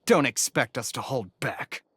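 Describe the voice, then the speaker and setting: A man's voice: mid-range voice, expressive, unhurried, a bit bright, husky, no room sound, clean studio sound. An actor playing a menacing, hot-headed male mercenary thug in a video game, swaggering and threatening. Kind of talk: scripted video game cutscene acting. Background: faint background music.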